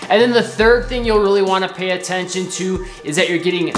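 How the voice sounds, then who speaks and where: A man's voice: high voice, brisk. A man in his thirties coaching basketball drills while seated on a couch in a living room, upbeat and demonstrating as he talks. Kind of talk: instructional drill demo. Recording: echoey room with faint music.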